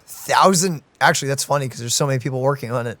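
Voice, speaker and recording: A man's voice: medium pitch, fast talker, fairly bright tone, no room sound. A man hosting a reaction video, giving casual, playful commentary on a game.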